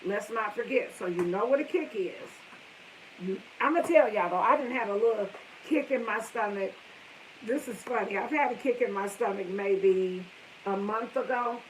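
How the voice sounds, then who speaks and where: A woman's voice: mid-range voice, normal speed, rough recording. Chatty fifty-something lady rambling through a story on a live stream, light hiss behind her. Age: 50s. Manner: chatty, wanders off topic, talks to viewers and family. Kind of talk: casual storytelling to viewers.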